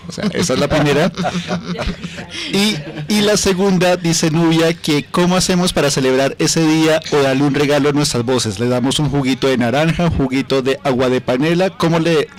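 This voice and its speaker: A man's voice: fairly high pitch, normal speed. A Colombian man hosting an informal radio interview in a jokey, colloquial way. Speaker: radio show host. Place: radio station booth.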